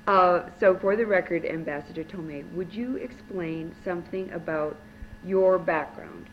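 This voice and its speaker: A woman's voice: lowish voice, moderate pace, highs cut off, a little hiss or hum. A woman interviewer opening an oral history interview in her subject's home, speaking hesitantly with many fillers.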